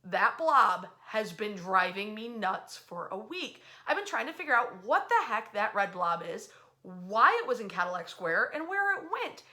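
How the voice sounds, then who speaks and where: A woman hosting a history storytelling series, talking in a chatty, emphatic way with dramatic pauses and drawn-out words. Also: medium pitch, sing-song, sharp tone. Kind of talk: animated storytelling to an audience online.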